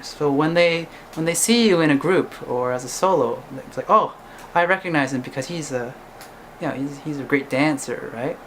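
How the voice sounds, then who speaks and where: A man's voice: on the high side, moderate pace, slight background noise. A young man, a singer-songwriter whose first language is English, talking casually to camera and ending his points with "right?". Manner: chatty, ends points with "right?".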